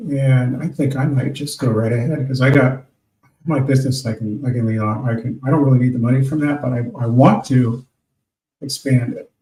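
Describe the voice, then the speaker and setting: Host, male, late fifties: gravelly, mellow voice, loose rambling delivery, set back from the mic in an echoey room. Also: medium pitch, distant mic. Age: 50s. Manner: meandering, reads out listener names. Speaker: internet radio host. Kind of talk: rambling casual talk to listeners.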